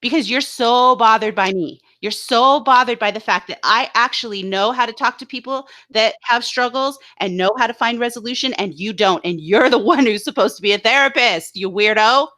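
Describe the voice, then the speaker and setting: Co-host, woman, indignant and scornful, bright higher voice. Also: moderate pace, hardly any pauses. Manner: indignant, scornful, repeats herself. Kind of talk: heated casual talk show rant.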